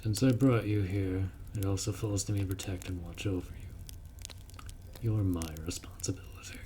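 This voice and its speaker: Man playing a deep-voiced, protective orc warrior. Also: noisy background. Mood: stern, instructing. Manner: blunt, informal, firm, instructing. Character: gruff, protective orc warrior man, blunt but caring. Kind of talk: roleplay audio drama, addressing the listener.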